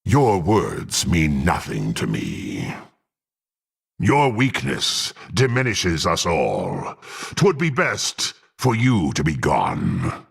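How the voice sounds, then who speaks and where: A brutal half-orc warrior from a fantasy game, contemptuous and hungry for power. The gravelly voice moves slowly but swings widely, dripping with haughty menace. The phrasing is archaic and formal. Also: male voice, low voice, huge pitch swings, heavily acted. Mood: menacing contempt. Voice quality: gruff, gravelly. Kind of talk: dramatic fantasy game voice acting.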